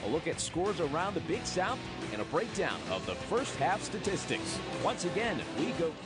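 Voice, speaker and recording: A man's voice: a little high, big pitch swings, normal speed. A man reading a scripted halftime announcement for a sports radio network over music. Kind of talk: scripted sports broadcast bumper. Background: loud guitar music.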